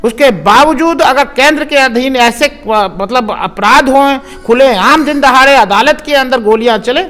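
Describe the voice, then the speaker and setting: A man's voice: very high-pitched, measured pace, sharp tone. A man speaking as an interviewee in impassioned political commentary, with an emphatic, indignant, repetitive way of talking. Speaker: interviewee commenting on crime and government.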